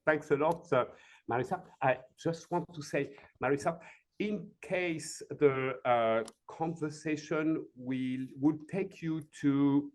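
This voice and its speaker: A man leading a live coaching demonstration as an executive coach, speaking gently and invitingly, with many fillers and "right?" check-ins. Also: on the high side, slow pace.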